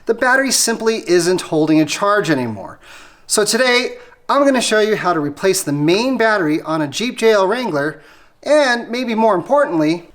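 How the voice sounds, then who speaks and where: An American how-to host with a mid-pitched voice, explaining a hands-on job step by step in a casual, conversational way. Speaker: host of an automotive how-to channel. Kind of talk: casual how-to tutorial narration.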